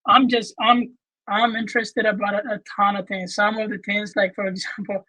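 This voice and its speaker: A man, answering as a guest on a video call in an earnest, halting way. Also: high-pitched, level tone, bright, forceful tone, dry recording, poor audio. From Ethiopia. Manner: earnest, with frequent restarts and fillers. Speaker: guest, medical student. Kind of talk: casual interview answers.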